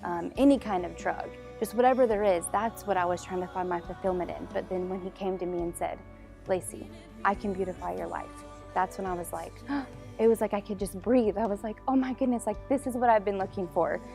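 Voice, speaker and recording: A woman in her early twenties shares an informal testimony in a mid-range voice. She is expressive and conversational, with soft music in the background. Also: medium pitch, a little fast, creaky. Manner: expressive, uses "like" often. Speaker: church member giving testimony.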